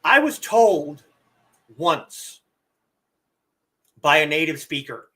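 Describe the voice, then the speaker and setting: A man with a bright, forward tone and a fairly high voice, talking slowly and unhurriedly. He hosts a relaxed live stream, explaining things and answering viewers. He still has a lingering cough from an illness. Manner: relaxed, chatty, answers chat questions. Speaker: live stream host teaching language tones.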